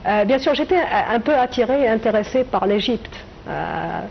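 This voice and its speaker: A middle-aged woman from Tunisia, a writer and translator, answering interview questions in a reflective way with many hesitant pauses. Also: fairly high pitch, lively intonation, vocal fry, muffled recording, slight background noise.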